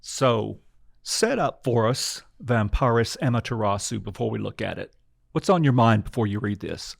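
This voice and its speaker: Low-voiced man interview host. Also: lively intonation, normal speed, gravelly, no room sound, clean studio sound. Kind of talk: interview questions.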